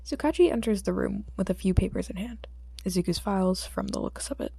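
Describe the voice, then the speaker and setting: A woman narrating a fiction audiobook from a script, with expressive delivery. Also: mid-range voice, fairly quick, gravelly, slightly rough, no room sound.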